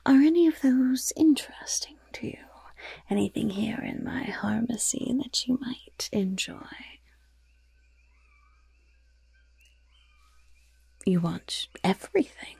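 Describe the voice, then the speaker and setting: A woman voicing a gleeful, mischievous goblin shopkeeper in a breathy, raspy whisper, slowly and slyly savoring every curse and poison in an ASMR roleplay. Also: on the high side, expressive, mellow, no echo, full character voice. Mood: delighted, obliging. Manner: slow, savoring, slyly polite.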